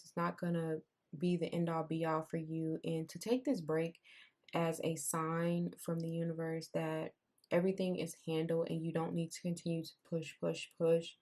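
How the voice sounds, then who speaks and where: A young woman giving a casual tarot reading. She talks in a loose, repetitive way, and her voice has gone raspy. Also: low-pitched, darkish tone. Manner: conversational, repeats herself, says "I'm seeing" often. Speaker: tarot reader.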